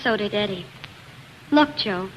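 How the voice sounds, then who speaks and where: An actress playing a heartfelt young singer and bride-to-be in a 1940s radio drama. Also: female voice, medium pitch, animated, measured pace, fairly bright tone. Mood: bright, eager. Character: heartfelt young woman singer, bride-to-be, quick to feel betrayed. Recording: muffled, hissy 1940s radio broadcast.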